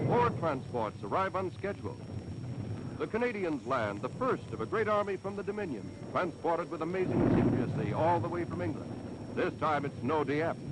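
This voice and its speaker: A man's voice: a little high, noisy background. An American man narrating a scripted 1940s wartime newsreel in a dramatic, declamatory, patriotic manner. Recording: vintage 1940s newsreel soundtrack with music.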